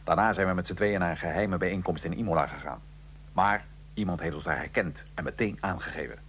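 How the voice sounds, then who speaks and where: A man playing a condemned Italian revolutionary in a radio drama, recounting his life in a measured, dry, ironic way. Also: sits low, a bit bright, a little hiss or hum. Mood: matter-of-fact. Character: Italian male revolutionary prisoner, condemned, dryly matter-of-fact. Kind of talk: radio drama acting, interview-style storytelling.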